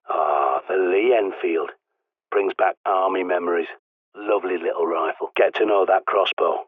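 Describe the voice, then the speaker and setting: Gruff british prepper on a radio, deep husky voice, dry knowing tone. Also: male voice, dry recording. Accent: British English. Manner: dry, knowing, conspiratorial instructions. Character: gruff ex-army male survivalist, middle-aged, secretive. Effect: radio transmission. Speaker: game character, survivalist guide. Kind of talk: video game voice lines. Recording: tinny radio-filtered line.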